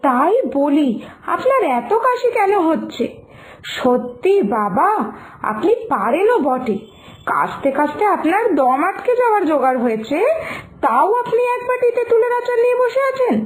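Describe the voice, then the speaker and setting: A woman playing a caring, fretful young daughter-in-law in a cartoon, with exaggerated, expressive delivery. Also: high voice, moderate pace, rough recording. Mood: alarmed, scolding. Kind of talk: scripted cartoon dialogue.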